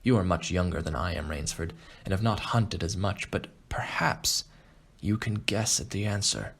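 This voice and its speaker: A man playing a refined, boastful aging Russian aristocrat and hunter in an audiobook, speaking in a measured, pompous way. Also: low-pitched, gravelly, slightly rough, full character voice. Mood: self-assured. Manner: pompous, measured, self-satisfied. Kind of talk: audiobook character acting.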